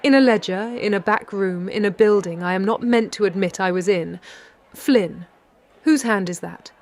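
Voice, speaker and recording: An actress with a British accent playing a shrewd 1930s London lady detective, narrating in a measured, wry and precise way. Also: mid-range voice, lightly acted. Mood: urgent, pointed. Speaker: narrator and lead character. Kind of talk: first-person detective audio drama narration.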